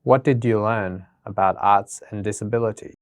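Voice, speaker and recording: Male interviewer, lowish voice, dry sound, plain scripted questions. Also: steady tone, normal speed, no echo. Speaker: interviewer and announcer. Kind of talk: scripted questions and title read.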